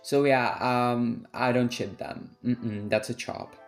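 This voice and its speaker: A man's voice: medium pitch, fairly even intonation, slow, faint music behind. A young man with a Czech accent giving his opinions in a casual, sassy, slangy rant as a vlogger.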